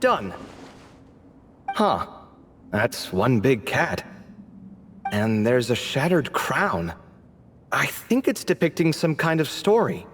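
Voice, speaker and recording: A young male video game adventurer, expressive and sardonic. His pitch leaps around while his pace stays leisurely. The sound carries long reverb and slight noise. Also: slow pace, long pauses. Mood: curious. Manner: animated, sarcastic, exclaiming. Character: expressive, sarcastic young adult male adventurer and scholar's companion.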